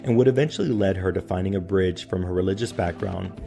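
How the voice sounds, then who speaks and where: A man's voice: sits low, fast talker, creaky, over loud music. A man hosting a podcast, reading a scripted intro and then asking hesitant questions full of uhs. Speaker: podcast host.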